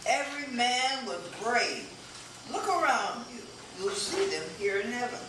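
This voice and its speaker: A woman's voice: fairly high pitch, lively intonation, a little slow. A woman playing a proud, plainspoken male soldier-president in a readers' theater stage reading. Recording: echoey room, distant mic, noisy.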